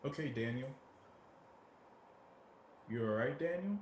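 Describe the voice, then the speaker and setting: A teasing, concerned street buddy in a stage drama. His voice swings up and down at a slow pace, in an echoey room with faint noise. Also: medium pitch, animated, darkish tone. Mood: amused concern. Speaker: actor. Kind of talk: dramatic table reading. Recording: roomy echo, faint background noise.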